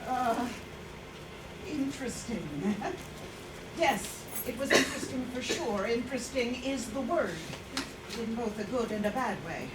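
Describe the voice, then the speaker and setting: A woman playing an agitated visitor in a staged reading, halting and repeating her words. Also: a little high, lightly acted. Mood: wry, uneasy. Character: agitated woman visitor desperate to leave an exhibition. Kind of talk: staged reading, dramatic acting. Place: indoor room at a staged reading. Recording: roomy, distant mic, noisy live hall recording.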